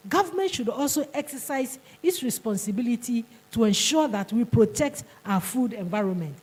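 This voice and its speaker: Husky Ghanaian woman presenting. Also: a little high, lively intonation, normal speed, a little mellow. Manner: emphatic, repeats words and restarts. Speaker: conference speaker. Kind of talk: conference presentation. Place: conference hall.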